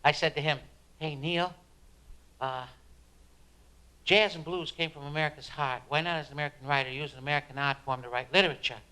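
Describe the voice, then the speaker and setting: A man's voice: on the high side, fairly even intonation, brisk, long pauses, pushed and bright, clear, resonant tone, poor audio. A man playing a fervent beat writer, delivering a dramatic, emphatic spoken-word monologue about his craft. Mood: reflective. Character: fervent beat-generation male writer reminiscing and preaching on writing.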